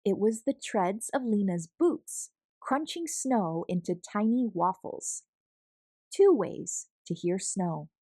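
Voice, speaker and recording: A woman reads a picture book aloud for children. Her delivery is expressive and deliberate, with a very lively melody and an unhurried pace. The sound is dry and close. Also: mid-range voice, no room sound. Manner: animated, deliberate storytelling with acted sound words. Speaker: storybook read-aloud host.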